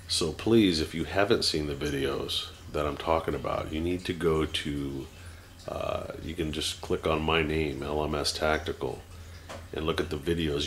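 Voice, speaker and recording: A man's voice: a bass voice, fairly bright tone, slight background noise. A man in his forties talking unscripted to a camera at home, rambling with many fillers and restarts and turning heated. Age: 40s. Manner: grows heated and ranting. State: teary-eyed. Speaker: vlogger.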